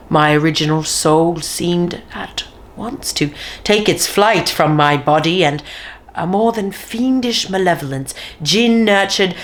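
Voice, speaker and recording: A woman reading a classic horror tale aloud in slow, deliberate dramatic narration, sometimes dropping to a near whisper. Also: sits low. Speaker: reader of a classic horror story.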